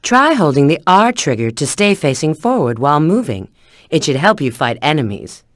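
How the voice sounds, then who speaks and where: A woman's voice: extremely low pitch, no echo, studio quality. An actress playing a confident female government agent who gives clipped, instructive mission tips in a video game.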